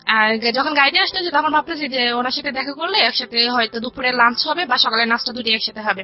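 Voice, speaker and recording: A woman with a Bangladeshi accent tells a personal life story conversationally, recounting dialogue in detail. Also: on the high side. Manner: recounts dialogue in detail, quoting both sides. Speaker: guest telling her life story. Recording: dull lo-fi radio audio with music. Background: background music.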